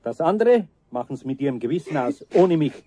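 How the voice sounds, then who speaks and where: A gruff but good-natured older Bavarian carpenter. His voice sits a little high for a man, and he talks in a blunt, folksy way, in the manner of a 1970s radio play. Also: very animated, fairly quick, mellow. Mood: stern, forgiving.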